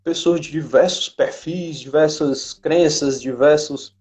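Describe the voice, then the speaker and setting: A man's voice: a little high, takes it slowly, a little mellow. A man hosting a casual chat, speaking hesitantly as he pieces thoughts together, on lo-fi live-stream audio.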